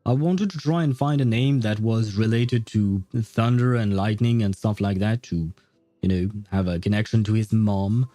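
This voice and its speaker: Male game streamer, soft mellow tone, dry close sound with music underneath, loose rambling chat. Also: fairly low pitch, moderate pace, no room sound. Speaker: livestream host.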